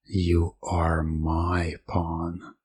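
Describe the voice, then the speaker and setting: Deep, slow, husky hypnotist man. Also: completely flat delivery, no room sound. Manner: soft, commanding, repetitive hypnotic suggestion. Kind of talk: hypnosis ASMR roleplay.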